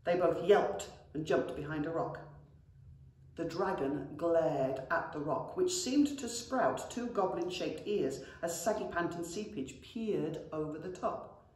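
A woman's voice: deep voice, unhurried, darkish tone. A middle-aged woman, a schoolteacher, reading a children's story expressively and addressing children affectionately. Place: indoor school classroom. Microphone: camera a few metres away. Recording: very echoey classroom.

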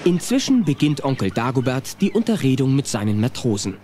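A man's voice: medium pitch, very animated, fairly quick, background noise. A man narrating a scripted children's radio play as a storyteller. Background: music.

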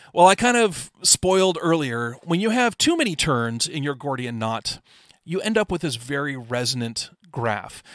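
A man's voice: fairly high pitch, a bit bright, no echo, studio quality. A middle-aged man giving an informal technical slide presentation in a plain-spoken, explanatory way. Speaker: amateur radio presenter.

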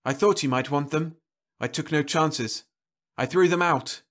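A man voicing Louise, a calm determined woman. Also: fairly high pitch, measured pace, voice pushed up. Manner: quiet and steady.

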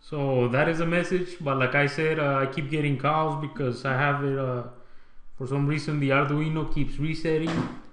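A man presenting a casual tech tutorial in an informal, halting way. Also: a little high, fairly even intonation, noisy background. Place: indoor room. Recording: echoey room.

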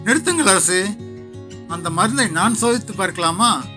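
A man's voice: high-pitched, lively intonation, moderate pace, over music. A man voicing a shrewd, witty court jester with a confident, explanatory manner. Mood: urgent.

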